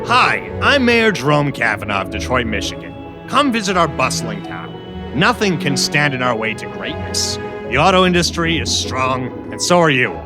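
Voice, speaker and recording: Man as grandiose, animated mayor over music. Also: medium pitch, normal speed, close to an everyday voice. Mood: boosterish. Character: boosterish big-city mayor doing an advertisement. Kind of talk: parody promotional spot.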